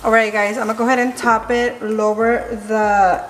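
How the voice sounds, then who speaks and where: A woman's voice: a little high, level tone, moderate pace. A woman in her thirties chatting casually through a cooking vlog, dropping Spanish phrases now and then. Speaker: vlogger. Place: large home kitchen. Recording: roomy kitchen echo with background music.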